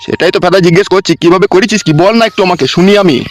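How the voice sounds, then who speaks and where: A man voicing a crude, henpecked village uncle in a comedy cartoon, gruff and blunt, with a rural West Bengal accent. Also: high voice, quick pace, soft background music, close to an everyday voice. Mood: impatient.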